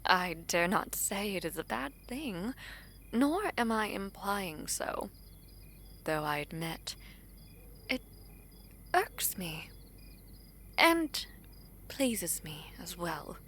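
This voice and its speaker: A woman playing a composed, courteous royal sibling in an audio drama, speaking formally in measured, halting phrases with guarded curiosity. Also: mid-range voice, very animated, a bit bright, a little hiss or hum, heavily acted. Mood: guarded, musing. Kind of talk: romantic audio roleplay acting.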